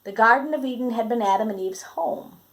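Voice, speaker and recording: A woman reading a children's book aloud in a dramatic, emphatic storytelling manner with pauses. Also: fairly high pitch. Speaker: storybook reader.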